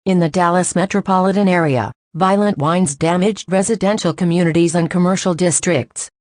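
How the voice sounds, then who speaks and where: A woman's voice: lowish voice, normal speed, a little mellow, no room sound, studio quality, natural voice. A woman playing a serious, composed TV news anchor, formally reading a scripted breaking news report.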